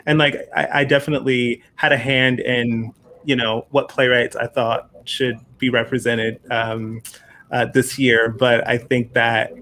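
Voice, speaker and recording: A man's voice: mid-range voice, little pitch movement, unhurried, pushed and bright, a little hiss or hum. Man hosting an informal conversation. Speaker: host, festival producer. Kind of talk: hosting an online festival conversation.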